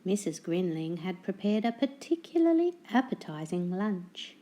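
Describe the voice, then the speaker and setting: A woman reading a children's storybook aloud as narrator, with deliberate, dramatic phrasing. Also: mid-range voice, big pitch swings, dark tone.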